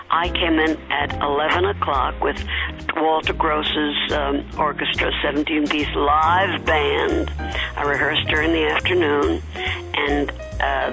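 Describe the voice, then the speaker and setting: Gravelly older woman reminiscing. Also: low-pitched, low-quality recording. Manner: chatty, anecdotal, with fillers. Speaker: interviewee. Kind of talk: reminiscing interview.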